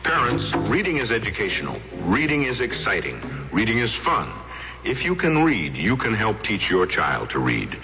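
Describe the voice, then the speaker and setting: A man's voice: on the low side, wildly swooping pitch, normal speed, music in the background. An American man reading a scripted public service announcement for radio as an announcer. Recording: muffled old radio, faint noise.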